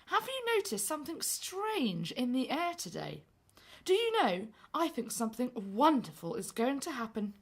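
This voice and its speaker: A woman's voice: sits high, huge pitch swings, normal speed, breathy edge, full character voice. A woman playing an eager, friendly young servant in a pantomime, chirpy and confiding. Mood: excited, hopeful. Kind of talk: stage pantomime acting.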